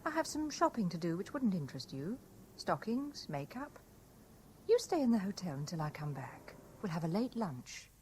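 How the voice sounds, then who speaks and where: A woman's voice: on the low side, very animated, moderate pace, a little mellow. Weary, plaintive young woman. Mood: composed. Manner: plaintive, restrained. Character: weary, distressed young woman pressed by an obsessive lover. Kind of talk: 1970s radio drama acting. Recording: noisy vintage radio broadcast recording.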